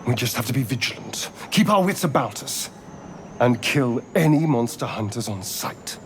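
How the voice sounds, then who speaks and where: An actor voicing a haughty, anxious vampire spawn on the run in a video game, theatrical and sardonic. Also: male voice, medium pitch, lively intonation, moderate pace, slight background noise, natural voice. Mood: tense, wry.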